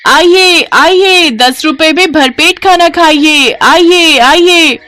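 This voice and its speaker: A woman's voice: very high-pitched, takes it slowly, bright, forceful tone, voice pushed up, full character voice. A woman voicing an earnest, big-hearted young daughter-in-law who sells food. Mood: calling out.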